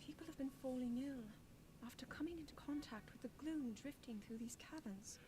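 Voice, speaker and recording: Casting: a woman as a curious young game princess, high and soft, earnest, brave, over noticeable game ambience. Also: a little fast, noisy background, lightly acted. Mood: earnest, resolute. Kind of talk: scripted video game cutscene acting. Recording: game audio with clear background ambience.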